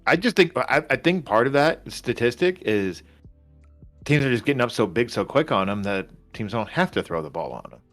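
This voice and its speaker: A man's voice: medium pitch, big pitch swings, normal speed, fairly bright tone, soft background music. A man co-hosting a casual fantasy football chat, joking bluntly and explaining his points at length. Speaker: co-host.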